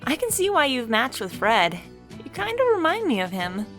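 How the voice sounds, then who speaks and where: A woman's voice: fairly high pitch, wildly swooping pitch, normal speed, over music, voice pushed up. A woman voicing a friendly, curious and forthright young woman with an upbeat, chatty delivery in a story. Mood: confiding.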